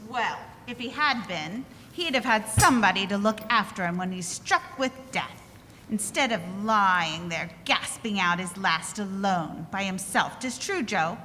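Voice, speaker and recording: A woman's voice: medium pitch, expressive, measured pace, pushed and bright. An actress playing a brazen, scornful working-class charwoman, indignant and theatrical, in a 1940s radio drama. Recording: echoey hall, faint background noise.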